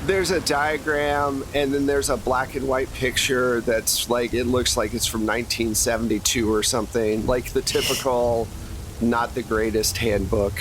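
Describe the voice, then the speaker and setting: A man's voice: medium pitch, level tone, normal speed, background noise. A man working as game master, describing scenes for a tabletop horror game in an improvised, conversational way. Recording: music playing behind the voice. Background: music.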